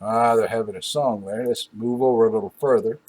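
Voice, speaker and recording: An older man hosting an old-time radio show, reminiscing casually in a folksy, halting, rambling way with asides. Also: on the low side, fairly even intonation, over music.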